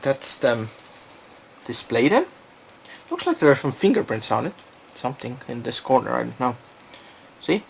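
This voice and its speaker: A man's voice: medium pitch, sing-song, moderate pace, creaky, long pauses, a little hiss or hum, low-quality recording. A man giving a casual, unscripted product demonstration, thinking aloud and hesitating as he goes. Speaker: product reviewer.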